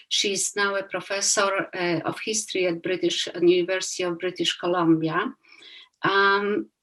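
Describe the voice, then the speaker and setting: A woman with a Polish accent, an archivist giving a lecture over a video call, pausing often with uh and um. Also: fairly low pitch, rough recording. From Poland. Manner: halting, many uh and um fillers. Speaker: museum archivist giving a public talk. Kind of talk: lecture with slides.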